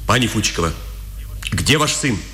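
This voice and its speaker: A Gestapo officer, formal and coldly courteous yet used to command. His voice is sharp and sweeps widely in pitch. It is an old radio drama recording with a roomy, reverberant sound. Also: big pitch swings, moderate pace, a little hiss or hum. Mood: cold politeness. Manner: formal, clipped orders. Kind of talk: 1950s Soviet radio drama acting. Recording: old echoey radio drama, music behind.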